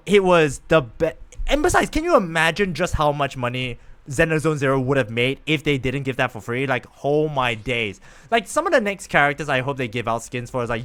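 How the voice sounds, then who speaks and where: A man with a fairly high, clean and smooth voice hosts a game livestream. He talks in a casual, rambling way and often circles back to repeat a phrase for emphasis. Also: a bit bright.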